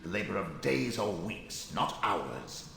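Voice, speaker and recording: An actor playing a haughty, sardonic dead wizard's spirit in a video game, speaking in an imperious, theatrical way. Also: male voice, deep voice, big pitch swings, slow, gravelly, heavily acted. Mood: disdainful. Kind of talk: scripted video game acting. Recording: echoing cavernous reverb, faint noise.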